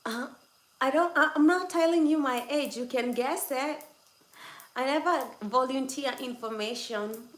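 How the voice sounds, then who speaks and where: A woman's voice: high voice, unhurried. A woman hosting a casual livestream from home, chatting and teasing viewers, laughing often. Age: adult over twenty-six. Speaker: livestream host. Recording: echoey room with faint background noise.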